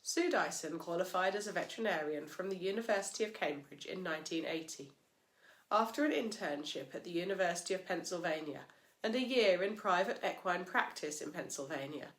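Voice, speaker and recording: A woman reads scripted narration in a measured, formal manner. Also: on the low side, animated, a bit bright. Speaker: host and narrator, physiotherapist. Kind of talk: scripted narration, read aloud. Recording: echoey, roomy sound.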